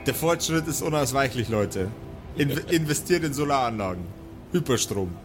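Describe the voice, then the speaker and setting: A man improvising narration as game master of a tabletop audio game, with theatrical delivery and deliberate pauses. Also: medium pitch, expressive. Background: background music.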